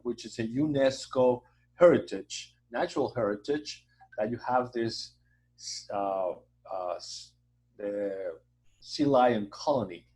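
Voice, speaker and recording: A man with a Mexican accent, a native Spanish speaker, being interviewed as a hotel association president, speaking haltingly with many fillers and a warm promotional tone. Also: medium pitch, rough recording. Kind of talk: conversational interview.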